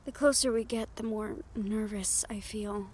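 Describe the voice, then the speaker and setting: A woman playing a gentle, teasing but worried young siren in an audio roleplay, speaking softly and intimately to the listener. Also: medium pitch, fairly even intonation, unhurried, a little hiss or hum, lightly acted. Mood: anxious, troubled.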